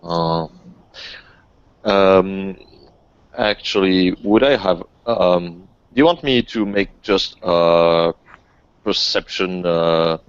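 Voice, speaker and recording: A man talking casually and tentatively as a player in a tabletop game session, halting as he goes. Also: sits low, clean tone, no echo. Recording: dull, lo-fi voice chat audio.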